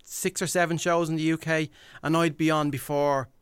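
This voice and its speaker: A man's voice: fairly high pitch, flat delivery, moderate pace, clean tone, dry recording. An Irish man talking casually as a guest in a radio interview. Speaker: guest, impressionist and event MC.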